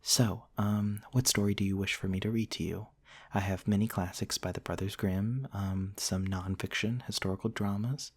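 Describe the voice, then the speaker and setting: A man playing a gentle, courtly, centuries-old vampire prince in a soft, intimate ASMR roleplay, tender and hesitant with old-fashioned politeness. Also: on the low side, fairly even intonation, moderate pace, breathy edge. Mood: tender, shy.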